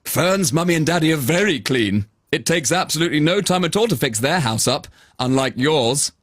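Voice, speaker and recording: A man voicing a kindly, calm unicorn who cleans houses in a children's bedtime story. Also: on the high side, moderate pace, no room sound. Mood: chatty, approving.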